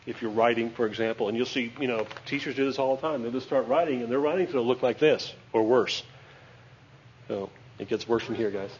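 A man's voice: medium pitch, brisk. A man teaches a class in a chatty, joking manner, checking in with his students often. The recording is slightly dull and lo-fi, with faint hiss under the voice. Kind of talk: classroom lesson at a whiteboard.

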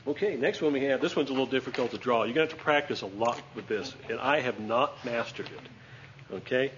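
A man's voice: medium pitch, a little fast, fairly bright tone, dull audio, a little hiss or hum. A man teaching a class at a whiteboard, chatty and joking, checking in with his students with a frequent "okay?".

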